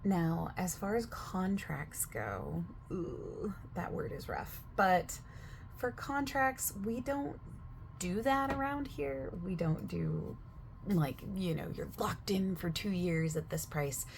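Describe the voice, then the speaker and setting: A woman talks quietly and at length, overexplaining like a gym clerk in an ASMR roleplay. Her voice sits low with plenty of vocal fry, and she keeps drifting from soft speech into whispers. A faint hiss runs underneath. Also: slow. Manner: soft-spoken, slipping into whispers mid-sentence; chatty, overexplaining. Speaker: gym staff member in a roleplay.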